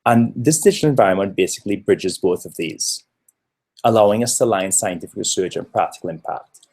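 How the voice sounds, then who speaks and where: A man's voice: on the low side, expressive, brisk, a little mellow, breathy edge. A man in his thirties from Trinidad giving an earnest, explanatory conference presentation with occasional fillers. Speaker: researcher presenting a talk.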